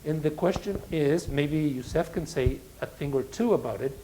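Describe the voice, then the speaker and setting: A man professor speaking in a public academic conversation, reflective, pausing with restarts and fillers. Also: a little high, dark tone, slight background noise, poor audio. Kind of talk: academic panel talk.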